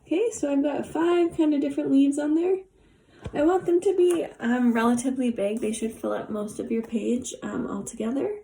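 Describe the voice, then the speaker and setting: A young woman with a raspy, hoarse voice and a cough, guiding an online art therapy lesson at home in a relaxed way with many pauses. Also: fairly high pitch. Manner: relaxed, many ums, gentle instructions.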